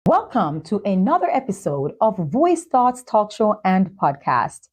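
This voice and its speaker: A woman speaking as the host of a talk show and podcast, with a husky voice. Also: medium pitch, big pitch swings, measured pace. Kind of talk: scripted intro and sponsor reads, then supportive interviewing.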